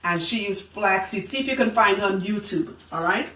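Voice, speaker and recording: A woman's voice: medium pitch, a little fast, fairly bright tone. A woman hosting a casual live stream about hair care, blunt and teasing, who laughs often. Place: indoors in a room, live broadcast. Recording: roomy, distant live-stream audio.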